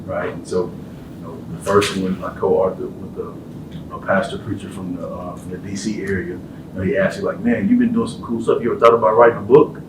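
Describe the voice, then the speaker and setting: A man with a low voice hosts a college panel and tells loose, laughing stories in casual chat. He sounds set back from the mic. An echoey hall and clear hiss surround his voice. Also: little pitch movement, a little fast, recorded from a distance. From Texas.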